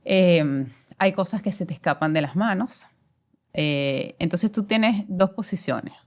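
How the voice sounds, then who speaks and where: A woman's voice: on the low side, a little slow. A woman in her forties with a Venezuelan accent, a guest telling her career story in a reflective way, with many fillers. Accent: Venezuelan Spanish. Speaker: guest, commercial director. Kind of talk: conversational interview.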